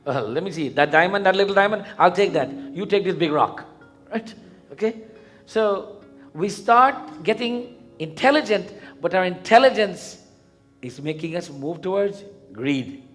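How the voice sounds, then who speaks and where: High-voiced Guyanese man preaching, music behind. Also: lively intonation, a bit bright. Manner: didactic, questioning, deliberate repetition. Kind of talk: interactive sermon. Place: live event in a temple before a congregation.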